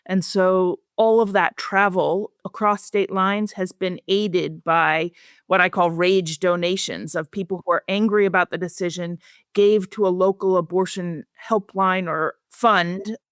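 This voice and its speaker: A woman's voice: fairly low pitch, fairly even intonation, unhurried, no echo, clean studio sound. A woman speaking as an expert guest, explaining things in articulate, plain-spoken interview answers. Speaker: expert guest, professor and researcher.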